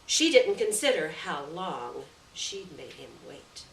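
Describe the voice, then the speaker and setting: A woman reading a literary short story aloud in a measured, deliberate way, with pauses between phrases. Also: low-pitched, sing-song, fairly bright tone. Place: roomy venue at a live reading. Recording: echoey room, distant mic, background noise.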